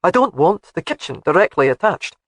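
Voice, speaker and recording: A woman's voice: on the low side, very animated, fairly quick, no echo. A woman voicing a commanding, protective laird in an audiobook who gives firm, clipped orders.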